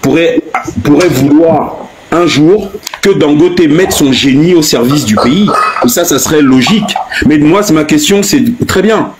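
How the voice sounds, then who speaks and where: A man's voice: on the high side, a crowd in the background, rough recording. A man in his fifties from Cameroon, host of a live stream, grilling a guest with pointed, repeated questions in a heated debate. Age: 50s. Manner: pointed, hammering questions, drawn-out stresses.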